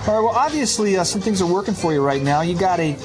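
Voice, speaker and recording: A male talk show host fires off joking questions at a fast clip. His voice sits high. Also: lively intonation, over music. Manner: jokey, quick questions. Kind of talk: comic interview.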